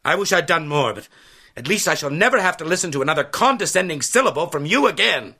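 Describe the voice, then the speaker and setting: An actor in a radio drama playing a resentful, indignant hotel deputy manager with a formal, tense manner. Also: male voice, a little high, animated, fast, bright, forceful tone, slightly rough, heavily acted. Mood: bitter.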